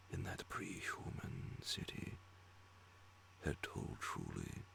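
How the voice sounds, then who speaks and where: A man reads horror fiction as an ASMR bedtime story. His voice is a breathy, very deep whisper full of heavy gravel. He moves slowly and deliberately through each hushed phrase. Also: slight background noise. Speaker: audiobook reader of horror stories.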